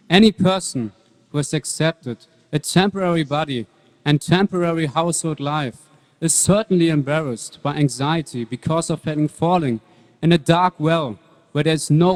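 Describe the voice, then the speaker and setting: A man voices a devout young boy in a dramatic stage reading. He is serene, measured and earnest, with a slightly high voice resounding in a big reverberant hall. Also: close to an everyday voice.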